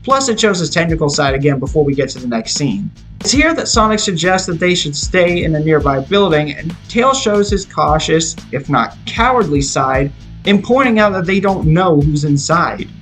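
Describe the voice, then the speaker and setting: A man's voice: fairly high pitch, expressive, moderate pace, a bit bright. A man reading a scripted film review in an opinionated, explanatory way. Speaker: video reviewer. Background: background music.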